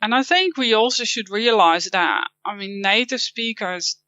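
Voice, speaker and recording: Guest interview: woman, Dutch accent, bright and clear, dry close sound, slightly dull lo-fi audio. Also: mid-range voice, a little slow, no room sound. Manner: thoughtful, with frequent restarts and light laughs. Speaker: guest, copywriting teacher. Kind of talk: casual interview chat.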